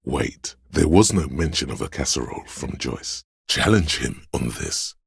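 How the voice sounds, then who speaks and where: A male actor voicing a sly inner voice of drama in a video game, a scheming courtly servant with theatrical, archaic flourishes and a gravelly, husky delivery. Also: very deep voice, very animated, a little slow, no room sound, studio quality, heavily acted. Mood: suspicious, sly. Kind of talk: video game voice lines, addressing a liege.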